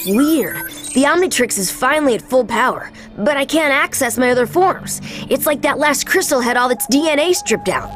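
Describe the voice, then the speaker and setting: High-voiced cocky boy hero. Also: lively intonation, normal speed, few pauses. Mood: puzzled. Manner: brash, sarcastic. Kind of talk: animated game acting. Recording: music under the voice.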